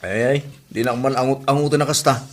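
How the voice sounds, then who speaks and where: A man's voice: medium pitch, fairly even intonation, fast, close to an everyday voice. A man with an Ilocano accent playing a whining, henpecked husband in a comedy audio drama. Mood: evasive, grumbling.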